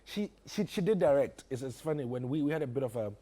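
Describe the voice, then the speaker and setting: A man talks as an interview guest. His medium-pitched voice is husky and dark. He speaks conversationally, restarting in a halting way and then landing his points with emphasis. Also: expressive. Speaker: guest, theatre practitioner.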